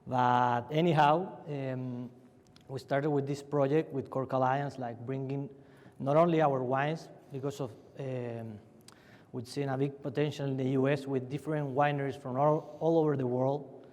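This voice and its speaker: Young Chilean man, Spanish accent, middle pitch, chatty panel talk, big reverberant hall. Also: fairly even intonation, moderate pace, darkish tone. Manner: loose and chatty, many restarts and fillers. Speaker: panelist from a family winery. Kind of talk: conference fireside chat. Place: large conference hall.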